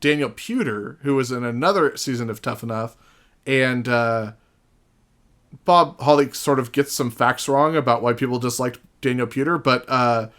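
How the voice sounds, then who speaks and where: Man, mid-pitched voice, podcast guest, casual talk, animated storytelling, frequent swearing. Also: normal speed.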